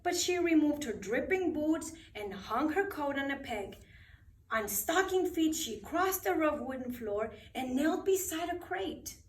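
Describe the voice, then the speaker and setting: High-pitched woman reading a bedtime story, roomy. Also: animated. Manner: dramatic, deliberate phrasing for children. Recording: roomy echo, distant microphone.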